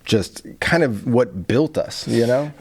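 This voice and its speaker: Relaxed, joking male studio guest. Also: medium pitch, takes it slowly, slightly rough. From Ireland and Detroit. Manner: relaxed storyteller, frequent fillers, joking. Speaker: guest, producer. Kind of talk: casual interview chat. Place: recording studio.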